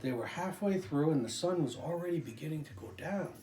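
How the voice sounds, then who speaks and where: Older man, dramatic picture-book storyteller; soft-edged mellow tone, roomy echo, music behind. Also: on the high side, fairly quick. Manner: expressive, dramatic, with occasional stumbles. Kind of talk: animated children's picture book reading.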